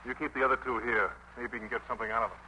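An American man playing a brisk, commanding army squad leader in a 1950s radio drama. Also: medium pitch, steady tone, pushed and bright. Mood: brisk orders. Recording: noisy old radio broadcast.

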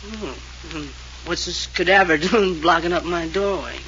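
A man's voice: a little high, moderate pace, pushed and bright. An actor playing a drunken, sardonic man of the house, drawling and chuckling in a 1940s radio drama, on a dull old recording. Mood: tipsy mocking. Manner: tipsy, drawling, chuckling mockery. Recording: dull lo-fi old radio transcription with faint hiss.

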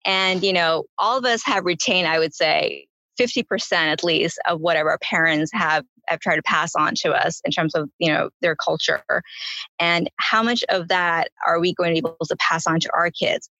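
A woman's voice: fairly low pitch, fairly even intonation, moderate pace, bright, forceful tone, no room sound, lo-fi sound. A woman whose first language is Gujarati, answering an interview question in a reflective, conversational way. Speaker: toy company founder.

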